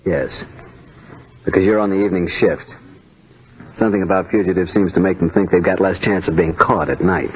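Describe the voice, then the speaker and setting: An actor playing a matter-of-fact homicide detective in a 1950s radio drama, talking in a clipped, deliberate way. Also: male voice, deep voice, a little hiss or hum, close to an everyday voice. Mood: businesslike. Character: matter-of-fact, hard-boiled homicide police sergeant. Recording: dull old radio recording.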